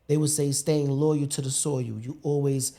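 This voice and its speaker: A man's voice: a little high, fairly even intonation, measured pace, mellow. A man hosting a talk show, asking rambling questions in a colloquial, chatty way. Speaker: show host. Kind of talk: casual interview chat.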